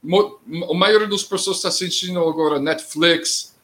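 A middle-aged man speaking Portuguese with a non-native accent, commenting as a guest in a political discussion, plain-spoken with halting grammar. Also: high-pitched, a bit bright. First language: not Portuguese.

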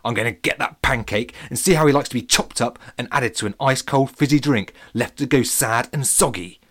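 A man voicing an angry little male lemon in a children's story, shouting his grievances. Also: medium pitch, fairly quick, lightly acted. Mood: ranting. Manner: ranting, shouting. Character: furious little male lemon bent on revenge.

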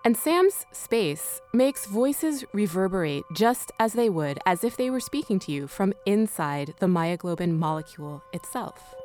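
A woman hosts a scripted radio documentary at an ordinary mid pitch. She narrates as a presenter, with faint music running behind her voice. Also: a little mellow. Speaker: host.

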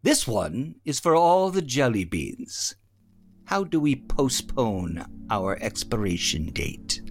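A man's voice: mid-range voice, very animated, takes it slowly, faint music behind, heavily acted. A man playing a menacing rebel robot rabbit in a radio play, questioning like an accusatory, theatrical game-show host. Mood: menacing, grave.

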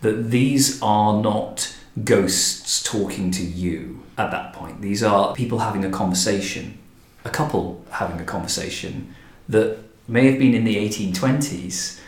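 A man's voice: lowish voice, a little slow, few pauses. A man hosting a reflective interview conversation with thoughtful, considered phrasing. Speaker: podcast host and director. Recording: echoey room.

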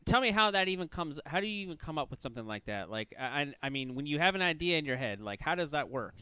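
Male host, from Louisiana, excitable, bright clear voice, dry close sound. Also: quick pace, dry recording. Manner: excitable, with sudden loud jumps in pitch. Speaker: podcast host. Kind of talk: casual interview.

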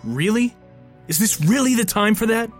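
An earnest, easily exasperated man, in an audio drama. His voice is fairly high and very lively in pitch, with faint music behind. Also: moderate pace.

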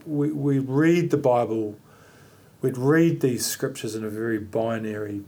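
A man's voice: medium pitch, a little slow, a little mellow. A man hosting a casual faith discussion, thinking aloud and rambling through his points with plenty of fillers. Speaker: podcast host.

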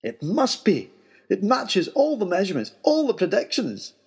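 A man voicing an excitable, delighted English professor in an adventure story, eager and exclaiming. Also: high voice, normal speed, slightly rough, pitched above the performer's own voice, exaggerated voice. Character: excitable, delighted male professor and polar explorer.

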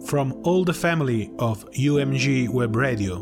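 A man reads a prepared college radio message. His pitch is average and music plays clearly behind him. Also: animated, normal speed.

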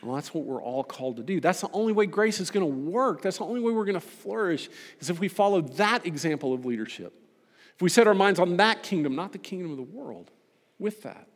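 A man delivering a sermon to a congregation as a preacher, emphatic and rhetorical, building points through repetition and pointed pauses. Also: sits high, very animated. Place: large reverberant hall. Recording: long hall reverb.

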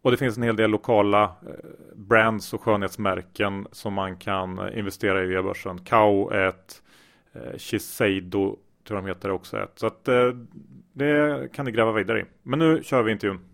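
A man's voice: fairly low pitch, fairly even intonation, normal speed, gravelly, a bit bright. A man hosting a podcast, speaking casually with many filler sounds. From Sweden. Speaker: podcast host.

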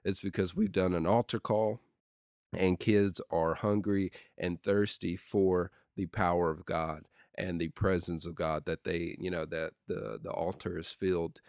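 Deep-voiced man, low and dry. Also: a little slow, clear, resonant tone, no echo. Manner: steady and conversational with many ums and uhs. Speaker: youth pastor. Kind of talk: casual solo podcast talk.

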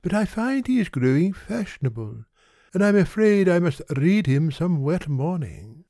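Man putting on an idle foppish gentleman, highish mellow voice, very varied pitch, affected, polite, bored, storybook. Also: sing-song, normal speed, no room sound, clean studio sound. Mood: languid, gallant. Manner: languid, affected, courteous. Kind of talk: dramatised classic novel reading.

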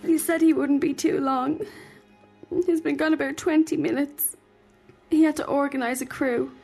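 A woman's voice: high-pitched, steady tone, moderate pace, vocal fry, a little mellow, voice pushed up. A woman reader voicing a frightened, tearful young woman who pleads and cries, over faint music. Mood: fearful. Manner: crying, pleading.

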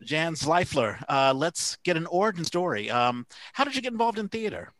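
A man's voice: a little high, big pitch swings, normal speed, fairly bright tone, no room sound, rough recording. An American man hosting a podcast, chatting casually and putting questions to his guest. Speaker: podcast host interviewing a guest. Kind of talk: casual conversational interviewing.

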